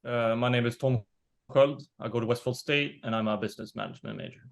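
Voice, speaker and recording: A man's voice: medium pitch, fast talker, vocal fry. A young man from Sweden, a student athlete giving brief, plain interview answers. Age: young adult.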